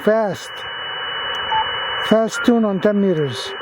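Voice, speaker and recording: A man's voice: sits high, slow, very noisy. A man chatting casually as an amateur radio vlogger, effusive and given to repeating himself. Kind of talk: casual radio chat and hands-on commentary.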